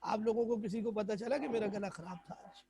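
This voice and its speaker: A hoarse man teaching a chemistry class online, quizzing students and repeating English definitions. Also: high voice, steady tone, brisk, noisy background.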